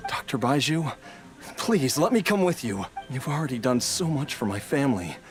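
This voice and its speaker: A man's voice: medium pitch, sing-song, normal speed, lightly acted. A man voicing an ailing, determined family man in a video game, earnest and emotional in his pleading. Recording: game audio with prominent music behind.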